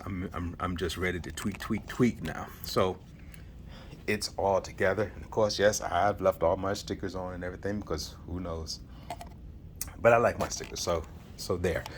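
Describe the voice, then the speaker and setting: A man casually narrating a walkthrough of his home setup in an informal, enthusiastic, rambling hobbyist manner. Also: sits low. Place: indoor home theater room. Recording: clearly noisy handheld home video.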